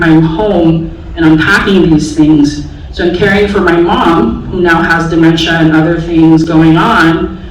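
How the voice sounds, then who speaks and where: A woman in her forties from the Bay Area, a special education teacher telling her own story candidly to an audience, with many fillers. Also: on the low side. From San Francisco Bay Area, US. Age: 40s. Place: large auditorium stage. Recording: echoey hall from far off, faint noise.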